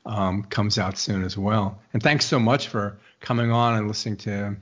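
Low-voiced older male bookstore host. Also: steady tone, normal speed. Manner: rambling, musing questions with many restarts. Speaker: bookstore radio host interviewing an author. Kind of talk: conversational interview. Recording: dull, lo-fi sound.

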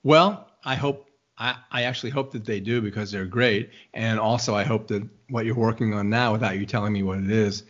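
Older male host, low voice, meandering questions, lo-fi dull audio. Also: fairly even intonation, fairly quick, fairly bright tone. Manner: rambling, musing questions with many restarts. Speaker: bookstore radio host interviewing an author. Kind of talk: conversational interview. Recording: dull, lo-fi sound.

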